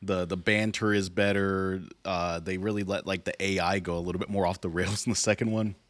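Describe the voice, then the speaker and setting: A man with a fairly low voice chats casually as a podcast co-host. The sound is dry and close, with no room to it. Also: steady tone, brisk, dry recording. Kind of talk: casual chat.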